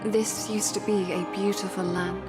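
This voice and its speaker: A woman playing a solemn, deliberate storyteller who summons a champion in a scripted fantasy trailer. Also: medium pitch, level tone, close to an everyday voice. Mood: solemn, urgent. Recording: loud music under trailer voice-over. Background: loud music.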